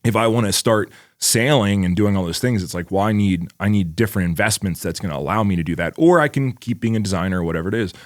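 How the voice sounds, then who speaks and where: Low-voiced guy about forty rambling on a podcast, swears a lot, says like constantly, dry sound. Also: fast, hardly any pauses, dry recording. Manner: rambling, swears freely, many "like" fillers. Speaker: podcast co-host. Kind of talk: casual chat.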